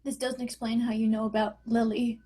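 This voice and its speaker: Actress doing a scared mom trying to save her kid, husky higher voice, drama script read. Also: little pitch movement, a little fast, mellow. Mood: questioning, troubled. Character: desperate, frightened mother pleading for her daughter. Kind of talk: screenplay table read acting.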